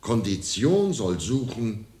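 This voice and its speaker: A man's voice: lowish voice, sing-song, slow pace, mellow. A man playing a brash, easygoing businessman in a radio drama, drawling and sardonic, in a roomy echo. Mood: sardonic, defiant. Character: brash, easygoing businessman who mocks others. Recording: roomy, echoing studio scene.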